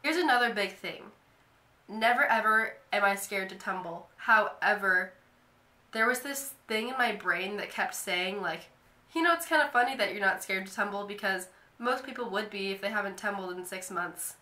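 A young woman talks to her camera in a casual, filler-heavy ramble. Her voice is bright and a little stuffy from a cold. The bedroom around her adds a noticeable echo. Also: medium pitch, moderate pace. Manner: chatty, lots of "like" fillers. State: nasal from a cold. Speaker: vlogger. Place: bedroom, indoors.

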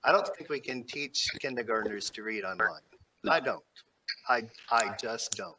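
A man's voice: on the low side, moderate pace, pushed and bright, a little hiss or hum, poor audio. A man speaking earnestly at a school board meeting over a video call, walking through figures. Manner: earnest, reasoned, cites figures.

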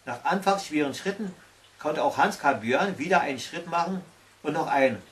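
Older man storytelling, fairly high voice. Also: animated, normal speed, a bit bright. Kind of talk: reading a Christmas fairy tale aloud. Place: small tiled-stove corner indoors at home. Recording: recorded from a distance.

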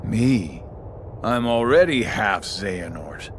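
An actor playing a smug middle-aged villain in a video game, with a mocking, sarcastic drawl. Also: male voice, lowish voice, big pitch swings, slow pace, slight background noise. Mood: sly. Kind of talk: video game cutscene acting.

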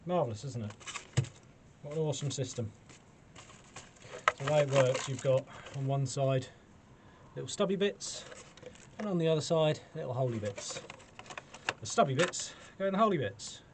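A man's voice: mid-range voice, normal speed, long pauses. A man in his forties with a British accent giving a casual, chatty, self-deprecating gardening demonstration to camera. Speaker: allotment gardening vlogger. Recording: clearly noisy camera sound with clicks.

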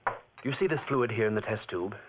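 An actor playing an obsessed, anguished scientist in a 1940s radio drama, with intense dramatic delivery. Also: male voice, fairly low pitch, expressive, brisk, a bit bright, slight background noise. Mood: fervent. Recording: old 1940s radio recording.

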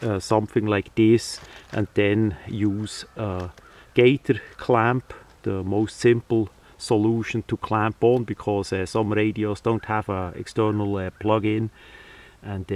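Man explaining slowly in halting short phrases. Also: fairly low pitch, a little mellow. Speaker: hobbyist presenter. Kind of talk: unscripted how-to demonstration. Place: outdoors, over a ground tarp.

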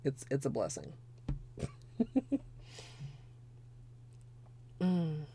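A hoarse young woman chatting casually through a nail art tutorial at her desk at home, rambling with lots of fillers. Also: low-pitched, wildly swooping pitch, extremely slow, dark tone, a little hiss or hum. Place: small home room with space heater.

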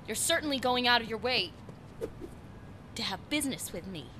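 A teasing female cat burglar from a noir radio play, acted by a woman. Her voice is bright and fairly high, playful and coy. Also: lively intonation, moderate pace, slight background noise. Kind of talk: noir radio drama acting.

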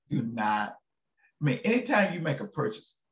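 An older male pastor giving a casual, folksy devotional sermon from his home study, chuckling and checking in with listeners. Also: mid-range voice. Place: home study with bookshelves, indoors. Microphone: webcam on a video call. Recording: echoey room, slightly dull webcam sound.

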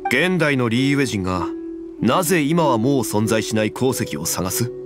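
An actor playing a composed, dignified, knowledgeable man in an anime game, speaking formally and deliberately. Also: medium pitch, sing-song, over music, natural voice. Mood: measured, explanatory.

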